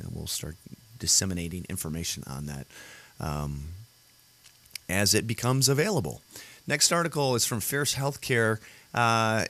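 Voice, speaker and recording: Man, very deep voice, gravelly, worn and rough, rambling solo host chat, lots of fillers. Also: a bit bright. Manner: rambling, many fillers and restarts. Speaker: podcast host. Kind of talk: casual solo show chat. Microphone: computer audio setup.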